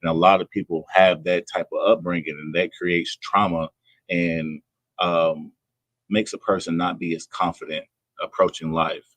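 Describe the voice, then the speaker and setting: Male, 30s. Deep register, sincere and plain-spoken interview guest, dry sound. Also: level tone, normal speed, a bit bright, no room sound, rough recording. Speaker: personal trainer, guest. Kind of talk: earnest conversational interview.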